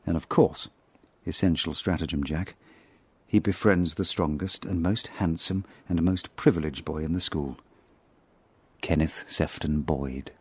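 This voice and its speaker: A haunted english spy narrating in a radio play, low dry voice, wry n deliberate. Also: male voice, darkish tone, breathy edge, no echo. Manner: ironic, deliberate, literary. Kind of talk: radio drama dramatised narration.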